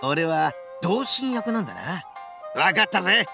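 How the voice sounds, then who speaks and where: An actor playing a brash, boastful and rather dim young oni gang boss in a video game, with cocky, rough slang. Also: male voice, high-pitched, sing-song, measured pace, over music, heavily acted.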